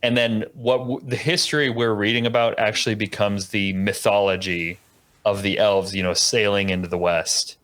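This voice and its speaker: Low-pitched male podcast co-host, eager lore explainer, casual. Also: fairly even intonation, moderate pace, fairly bright tone. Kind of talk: casual chat.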